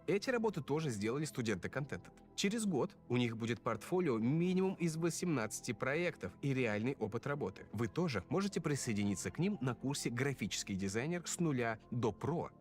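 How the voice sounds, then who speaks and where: A man giving scripted narration as a voice-over dub, in a lively explaining manner. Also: medium pitch, a little fast, hardly any pauses, faint music behind.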